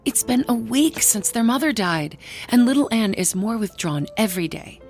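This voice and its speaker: An actress playing an overbearing, disapproving aunt with an insistent, haughty manner in a radio drama. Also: female voice, fairly high pitch, lively intonation, normal speed, a little mellow. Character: overbearing, disapproving aunt fussing over bereaved children. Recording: studio radio play with music underneath.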